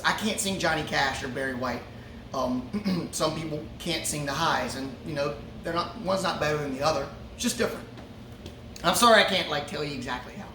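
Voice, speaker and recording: A man, a musician, answering fan questions in a relaxed, joking way with lots of fillers. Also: fairly high pitch. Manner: laid-back, joking, many fillers. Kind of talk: casual Q&A chat. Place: brewery before a show. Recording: roomy echo, from a distance, ambient noise.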